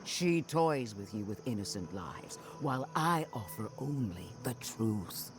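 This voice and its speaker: An actor playing a stern, solemn undead zealot in a fantasy game, speaking deliberately and accusingly. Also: male voice, on the low side, big pitch swings, noisy background. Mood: cold. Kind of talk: fantasy game cutscene acting.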